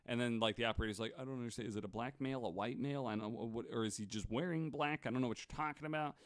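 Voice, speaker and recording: A man's voice: medium pitch, rapid-fire, dry recording, clean studio sound. A man hosting a podcast, telling stories casually among friends and acting out both sides of retold dialogue, with some swearing. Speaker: podcast host.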